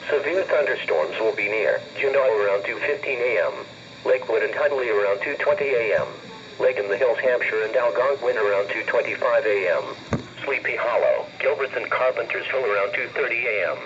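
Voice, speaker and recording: A synthetic male voice reading a scripted emergency weather warning in a formal, impersonal, measured manner. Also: mid-range voice. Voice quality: synthetic text-to-speech voice. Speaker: weather radio announcer. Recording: muffled, noisy weather radio broadcast.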